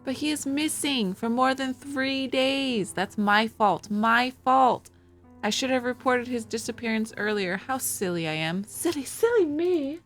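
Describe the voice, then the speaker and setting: A woman's voice: high voice, measured pace, fairly bright tone, voice pushed up. A woman voicing an anxious, self-reproaching baroness in a dramatic, lamenting way, with a wandering accent. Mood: distraught, self-blaming. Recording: music playing behind.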